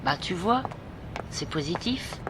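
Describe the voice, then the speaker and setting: Woman acting a brisk upbeat female companion, noisy recording. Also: on the low side, expressive. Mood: upbeat, insistent. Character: brisk, upbeat woman looking after a blind teenage girl. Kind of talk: amateur audio drama acting. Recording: clear background noise.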